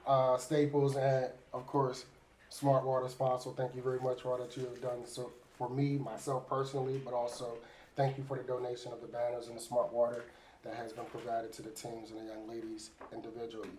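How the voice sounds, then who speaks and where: A man's voice: mid-range voice, completely flat delivery, fairly quick, dark tone, low-quality recording. Guy hosting a sports chat, easygoing, lots of you knows, a little background hiss. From Pittsburgh. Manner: chatty, loose, many fillers. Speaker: sports show host. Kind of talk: casual sports interview.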